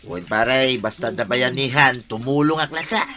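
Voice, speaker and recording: A man's voice: mid-range voice, moderate pace, a crowd in the background, close to an everyday voice. A man playing a helpful but easily aggrieved village man in a comic radio drama, speaking emphatically. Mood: agreeable. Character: plain-spoken village man, helpful but quick to complain.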